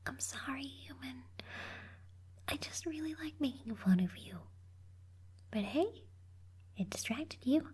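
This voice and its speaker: A woman voicing a teasing but caring young cactus girl in an ASMR roleplay, soft and slightly husky, blunt yet encouraging. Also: high-pitched, lively intonation, unhurried, long pauses, exaggerated voice.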